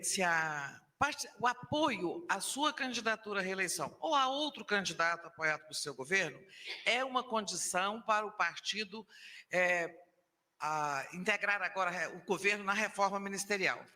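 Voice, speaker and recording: A woman reporter puts a question at a press briefing, hesitating and correcting herself as she phrases it. Her voice has a very bright, sharp tone. It rings with the long reverb of a large hall. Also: medium pitch. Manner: hesitant, with repeated self-corrections. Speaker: journalist asking a question.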